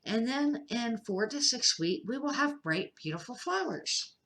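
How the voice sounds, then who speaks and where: A patient woman schoolteacher from a children's picture book, voiced by an older woman reading aloud. She instructs gently and encouragingly. The voice is bright and clear-cut. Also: fairly high pitch, expressive, a little slow, voice pushed up. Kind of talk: children's storybook character reading.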